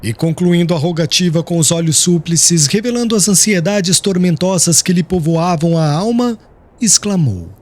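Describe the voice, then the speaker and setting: A man narrating an audiobook with a measured, expressive reading. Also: high-pitched. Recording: music under the narration. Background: background music.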